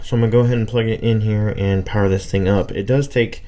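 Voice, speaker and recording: A man's voice: fairly low pitch, fairly even intonation, quick pace, warm tone. A man giving a casual, unscripted gadget demo, hesitant and thinking aloud as he goes. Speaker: hobbyist radio reviewer.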